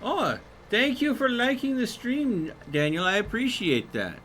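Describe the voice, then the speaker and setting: A man streaming a game, chatting casually in a rambling, halting way. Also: extremely high pitch, very animated, fairly bright tone, slight background noise. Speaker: live streamer. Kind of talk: casual gaming livestream chat.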